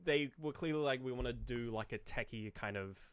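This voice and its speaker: A man co-hosting a podcast, chatting casually about films in a rambling, halting way, often quoting imagined reactions. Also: mid-range voice, clean tone, no echo. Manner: rambling, halting explanations full of hedges and self-quotes. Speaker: podcast co-host. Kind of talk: casual film discussion.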